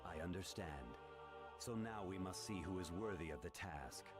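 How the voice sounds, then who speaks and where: A man's voice: deep voice, normal speed, natural voice. A man voicing a proud, earnest young warrior in a video game, speaking in a solemn, declamatory way. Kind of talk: dramatic video game voice acting. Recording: loud game music over the voice.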